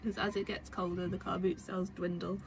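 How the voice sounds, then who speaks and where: A young woman, croaky from a cold, chatting casually at home about secondhand items she resells, with lots of fillers. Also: fairly low pitch, level tone, brisk. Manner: chatty, rambling with many fillers. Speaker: vintage reseller vlogger. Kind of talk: casual chat. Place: home indoors. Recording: home recording with clear background noise.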